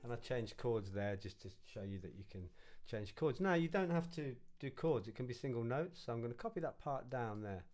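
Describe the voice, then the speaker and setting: A man giving an informal, step-by-step music software tutorial, thinking aloud as he instructs. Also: mid-range voice, animated, brisk, hardly any pauses.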